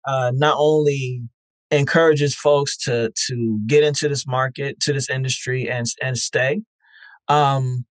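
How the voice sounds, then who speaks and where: A man who founded a festival answers interview questions in an earnest, measured way, with frequent fillers. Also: medium pitch, fairly even intonation, no room sound.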